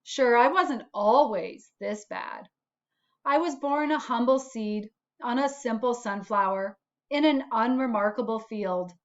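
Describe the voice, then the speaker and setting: A woman voicing a self-declared bad little sunflower seed in a storybook, confessing with deliberate dramatic phrasing. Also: a little high, muffled recording, close to an everyday voice. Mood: wistful, dramatic.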